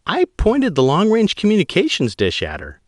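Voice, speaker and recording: A man voicing a nervous young woman shuttle pilot in a raised, strained falsetto. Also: huge pitch swings, moderate pace, no room sound, clean studio sound. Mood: insistent. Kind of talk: audiobook character voice.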